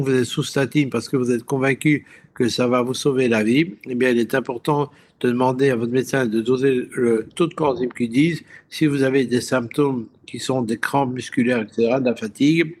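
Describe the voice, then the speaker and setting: Man, mid-range voice, radio guest expert, didactic scientific explanation, conversational, digressive, halting word searches, frequent check-ins. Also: medium pitch.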